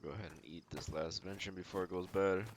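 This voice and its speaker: A man's voice: low voice, steady tone, quick pace, background noise. A man streaming a video game, thinking aloud in a casual, laid-back, slangy way.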